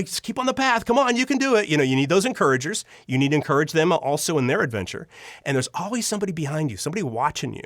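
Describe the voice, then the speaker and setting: A man's voice: a little high, a bit bright, dry recording, studio quality. A man speaking conversationally as an interview guest, in an earnest, encouraging manner. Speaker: podcast guest, audio drama storyteller. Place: recording studio.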